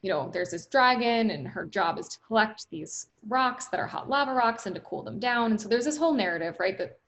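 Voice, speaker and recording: A woman with a loud voice, speaking as a company guest in an interview, upbeat, laughing often and leaning on "right?" and "you know.". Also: on the high side, expressive, a little fast. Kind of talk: conversational interview.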